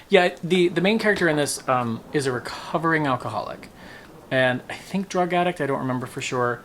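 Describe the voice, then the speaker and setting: A man speaks in an informal, chatty vlog style, with a medium-pitched voice over audible ambient noise. He sounds like someone reviewing books off the cuff, stressing words and thinking out loud as he goes. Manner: informal, emphatic, musing aloud and second-guessing himself. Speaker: book reviewer, vlog host.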